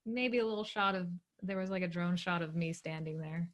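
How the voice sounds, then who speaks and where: A woman documentary filmmaker chiming in with lively, laughing asides on a video call. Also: on the low side, fairly quick. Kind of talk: conversational panel answer.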